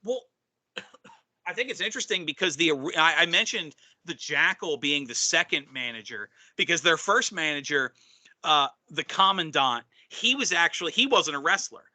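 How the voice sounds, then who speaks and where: A man co-hosting a wrestling talk show in casual chat, rambling with frequent restarts and a voice going hoarse. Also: on the high side, expressive, moderate pace, bright, forceful tone. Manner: rambling, restarts often, clears throat. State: losing his voice. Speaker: wrestling podcast co-host.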